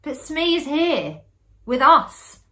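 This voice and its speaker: A woman voicing an earnest, uneasy gentleman in a ghost story, speaking insistently. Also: high voice, sing-song, drawn-out words, pitched above the performer's own voice, heavily acted. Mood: insistent protest.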